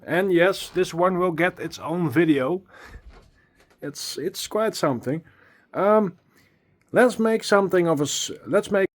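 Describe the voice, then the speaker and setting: Dutch man vlogging, a bit out of breath. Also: fairly high pitch. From Netherlands. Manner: chatty, self-deprecating, laughs often, swears casually. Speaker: music producer vlogger. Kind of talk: casual vlog talking to camera. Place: small home studio with acoustic foam, indoors. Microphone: handheld phone at arm's length.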